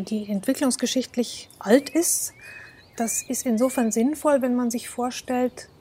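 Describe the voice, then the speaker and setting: A woman explains things like an expert, precise and didactic. Her voice is fairly high but mellow, and some faint ambient noise sits behind it. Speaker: musicology expert. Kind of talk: explanatory interview commentary.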